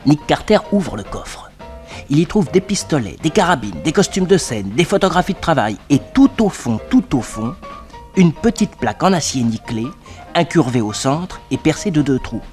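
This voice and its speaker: An actor playing a detective's loyal assistant who narrates the adventure crisply, in the style of an old French radio serial. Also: male voice, fairly high pitch, sing-song, normal speed, a little mellow, soft background music. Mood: brisk narration.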